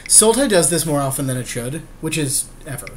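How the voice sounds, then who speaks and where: A man's voice: on the high side, expressive, brisk. A man chatting casually as a gameplay podcast co-host, agreeable and joking, with some swearing. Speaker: podcast co-host commenting on card game. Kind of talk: casual chat, gameplay commentary. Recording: clear background noise.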